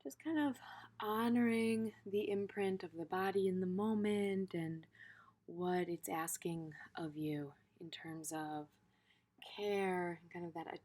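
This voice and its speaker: A woman teaching a gentle guided meditation in an informal, tentative way, pausing in the middle of her phrases. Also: on the low side.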